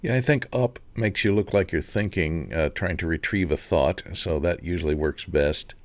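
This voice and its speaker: A man's voice: sits low, moderate pace, no echo. A man on a panel giving casual, practical advice.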